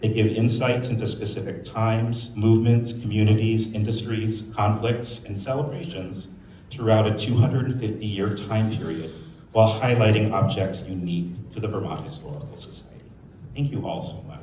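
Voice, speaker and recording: A man giving opening remarks to the public as a museum staff speaker, speaking in an informal to measured way. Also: fairly low pitch, fairly even intonation, darkish tone. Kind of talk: public speech, part off-the-cuff, part scripted. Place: indoors in a museum. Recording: very echoey room, distant mic, faint noise.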